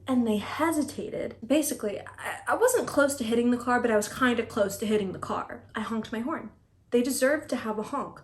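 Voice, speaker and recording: A young woman in her twenties talking in a casual vlog, dry and deadpan, griping with stammered restarts. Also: fairly high pitch. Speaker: vlogger. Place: apartment room. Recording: echoey room, mic at a distance.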